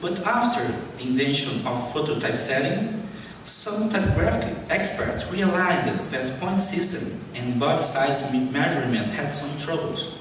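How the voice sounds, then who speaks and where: A man from Brazil whose first language is Portuguese, with a Brazilian Portuguese accent, reading a prepared conference talk in a careful, deliberate way. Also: fairly high pitch, expressive, a little hiss or hum. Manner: careful, deliberate, apologetic about his English. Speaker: conference speaker. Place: large conference hall. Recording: echoey hall recorded from far off.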